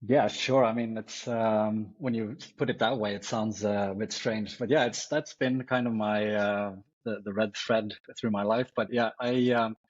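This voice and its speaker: A man's voice: fairly low pitch, fairly even intonation, normal speed, hardly any pauses, highs cut off. A man in his forties with a Norwegian accent, a guest artist answering in a casual interview, hesitant with many restarts. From Norway.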